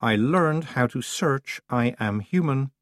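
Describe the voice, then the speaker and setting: A man voicing a courtly, eerie male winter spirit who speaks slowly and formally. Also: medium pitch, lively intonation, a bit bright, dry recording, clean studio sound, voice pushed up. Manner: slow, formal.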